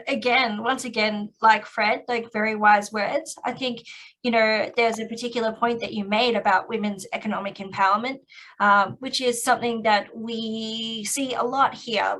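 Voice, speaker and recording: A woman's voice: on the high side, fairly even intonation, normal speed, a bit bright, low-quality recording. A woman moderating an online panel, talking casually with lots of fillers. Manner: chatty, filler-heavy, with many likes and you knows.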